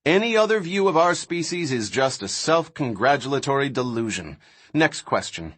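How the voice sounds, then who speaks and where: Guy reading as a scornful scientist lecturing, blunt and sardonic, big pitch swings, husky. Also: sing-song, normal speed, fairly bright tone. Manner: emphatic, sardonic, declaiming. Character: blunt, contemptuous male scientist lecturer, dismissive intellectual.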